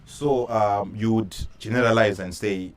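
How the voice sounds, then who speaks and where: A man's voice: fairly low pitch, steady tone, unhurried. A man hosting a casual interview, putting conversational questions to his guests. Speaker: interviewer, host. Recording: faint background noise and ambience.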